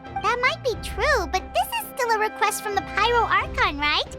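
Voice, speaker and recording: Casting: tiny excitable game fairy, very high squeaky childlike voice, lively swings, opinionated. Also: female voice, normal speed, loud music behind the voice. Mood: indignant, doubtful. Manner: refers to herself in third person, exclamatory. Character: small chirpy childlike female fairy companion, excitable, opinionated. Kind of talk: video game voice acting.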